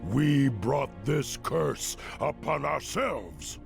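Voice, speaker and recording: A gravelly, brooding fallen orc chieftain. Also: male voice, medium pitch, animated, over music, exaggerated voice. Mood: proud, bitter. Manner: slow, brooding, fatalistic delivery. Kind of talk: dramatic video game cutscene acting.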